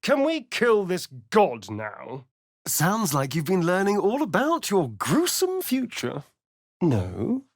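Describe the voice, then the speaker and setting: Sly male vampire rogue, lively swooping pitch, unhurried drawl, sardonic, vain, video game character. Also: no echo, studio quality, full character voice. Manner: theatrical, arch, drawling, sardonic. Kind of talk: theatrical video game character lines and narration.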